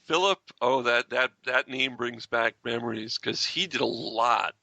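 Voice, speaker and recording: Guy on a fan podcast, really sharp bright voice with lots of fry, bone dry. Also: mid-range voice, measured pace, dry recording, muffled recording. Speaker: podcast panelist. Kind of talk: casual fan chat.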